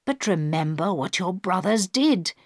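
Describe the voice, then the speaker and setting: A woman voicing a middle-aged male passenger and father, speaking low and measured. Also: expressive, darkish tone, dry recording, clean studio sound. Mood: arguing.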